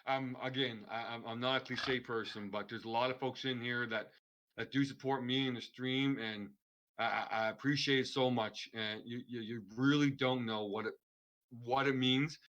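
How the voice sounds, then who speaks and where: A man's voice: medium pitch, fairly even intonation, normal speed, fairly bright tone, dull audio. A man guesting on a podcast, talking earnestly and rambling in casual chat. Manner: earnest, rambling, restarts a lot. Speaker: streamer guest.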